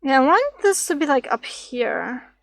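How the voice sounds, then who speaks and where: A woman streamer with a slightly raspy voice, rambling and thinking aloud as she draws on a casual livestream. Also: high-pitched, very animated, measured pace, fairly bright tone, no echo. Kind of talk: casual drawing livestream chat.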